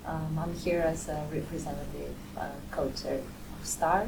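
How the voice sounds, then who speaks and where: A woman's voice: deep voice, little pitch movement, moderate pace, creaky, recorded from a distance, noisy background. A young woman from Greece, a final-year student, answering interview questions hesitantly with many ums. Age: young adult. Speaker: student guest. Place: radio studio.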